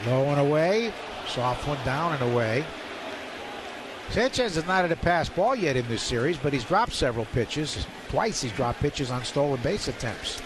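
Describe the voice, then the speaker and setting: A man's voice: on the high side, moderate pace. A man doing live radio play-by-play of baseball as an announcer, speaking in clipped phrases. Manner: clipped phrases, rising to excited calls on big plays. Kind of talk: live radio sports commentary. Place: ballpark broadcast booth. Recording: live stadium broadcast, loud background noise.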